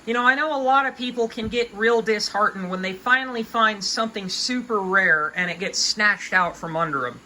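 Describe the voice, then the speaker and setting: A woman's voice: medium pitch, moderate pace, hardly any pauses, sharp tone, a little hiss or hum, rough recording. A woman of about twenty giving hobby advice in a casual, unscripted rant, with an emphatic, folksy, colloquial way of talking.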